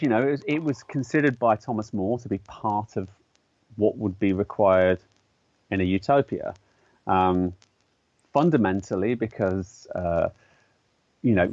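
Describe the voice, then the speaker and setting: A man's voice: on the low side, darkish tone, no room sound, lo-fi sound. A man, an artist and educator, speaking as a guest in a conversational interview, thoughtful and hesitant, with many fillers and restarts. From English-speaking country. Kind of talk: conversational interview explaining ideas.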